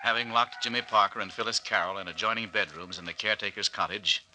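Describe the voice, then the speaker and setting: A man's voice: lowish voice, steady tone, fast, lightly acted. A man narrating a vintage radio mystery serial as announcer, solemn and theatrical. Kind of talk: dramatic 1940s radio narration. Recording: hissy vintage radio recording.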